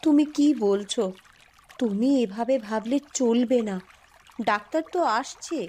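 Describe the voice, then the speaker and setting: A woman's voice: fairly high pitch, unhurried, a little mellow. A woman playing a devoted, brave mother in a cartoon story, speaking in an earnest, soothing manner. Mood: distressed. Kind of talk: Bengali cartoon voice acting.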